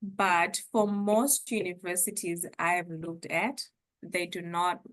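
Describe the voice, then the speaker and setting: A woman's voice: fairly low pitch, little pitch movement, slow pace, fairly bright tone. A young woman from Zimbabwe, a former international student, chatting casually and hedging her points with frequent laughs.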